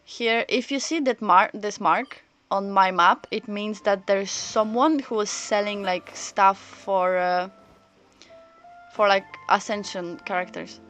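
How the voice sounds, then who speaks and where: Woman, game streamer, casual chat, halting and rambling, thinking out loud, faint game music behind. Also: medium pitch. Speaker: live streamer. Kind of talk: casual chat while playing a story game.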